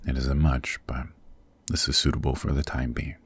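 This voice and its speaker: A man voicing a possessive, protective demon king in a husky, very deep voice, formal and intimate, in an ASMR roleplay. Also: level tone, normal speed, noisy background. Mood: commanding, caring. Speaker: voice actor.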